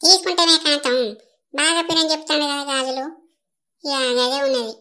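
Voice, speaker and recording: A woman's voice: sits high, normal speed, pushed and bright. A woman voicing a chatty village neighbour haggling over bangles in a cartoon, with a Telangana Telugu accent.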